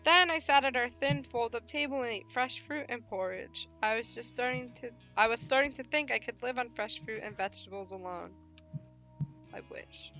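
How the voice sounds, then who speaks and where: A woman streamer reading a visual novel aloud and mixing it with animated, slangy banter, sometimes stumbling over words. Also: fairly high pitch, normal speed, soft background music. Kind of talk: live playthrough, narration mixed with casual banter.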